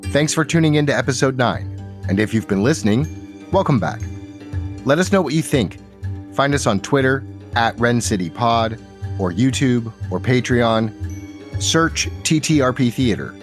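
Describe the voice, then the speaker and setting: A man's voice: mid-range voice, expressive, moderate pace, soft background music. A man hosting a tabletop roleplay show as dungeon master, opening with an upbeat announcer-style intro and then narrating a story recap.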